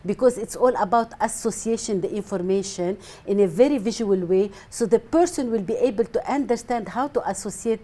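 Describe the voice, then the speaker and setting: Woman, mellow voice. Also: medium pitch, fairly quick, hardly any pauses. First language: Arabic. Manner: earnest, explains with repetition. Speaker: guest, mind mapping coach. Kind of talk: TV studio interview.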